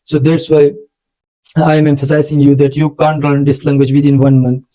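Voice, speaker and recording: A man whose first language is Urdu, speaking English with an Urdu accent, hosting an English-learning channel and giving informal advice to viewers in a halting way, full of hesitant fillers. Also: a little high, flat delivery, warm tone, low-quality recording.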